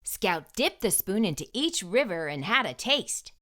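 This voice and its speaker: A woman reading a storybook aloud to young children, with expressive, emphatic delivery and deliberate phrasing. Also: medium pitch.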